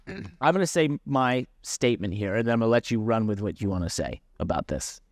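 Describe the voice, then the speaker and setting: A man's voice: on the low side, lively intonation, brisk, no room sound, clean studio sound. A man co-hosting a casual motorsport podcast, talking through his argument in an explanatory way and often stopping to correct or qualify himself. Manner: explanatory, self-correcting, interjecting. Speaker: podcast co-host and racing driver. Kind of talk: casual sports podcast discussion.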